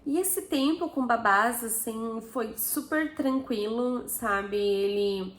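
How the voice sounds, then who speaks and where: A woman's voice: fairly high pitch, slow, a little hiss or hum. Chatty Brazilian woman, echoey room. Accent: Brazilian Portuguese. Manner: chatty, frequent tag questions. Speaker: vlogger. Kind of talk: casual personal story to camera.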